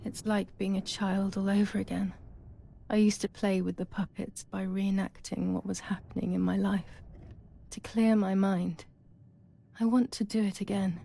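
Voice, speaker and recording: A woman playing a troubled, brooding young woman in a wartime psychological game, narrating in a measured, dramatic, introspective way. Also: mid-range voice, creaky, warm tone. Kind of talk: scripted first-person game narration.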